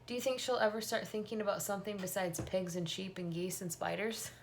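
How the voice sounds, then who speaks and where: A woman's voice: medium pitch, steady tone, brisk, gravelly, a little hiss or hum, close to an everyday voice. A woman reading the part of a worried, practical farm mother in a storybook, fretful and questioning. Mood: anxious.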